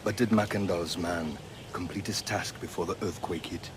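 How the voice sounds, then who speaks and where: An actor playing a dignified, calculating older mentor with formal, measured speech in a video game. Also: male voice, deep voice, fairly even intonation, noisy background, close to an everyday voice. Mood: concerned. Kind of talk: video game cutscene acting.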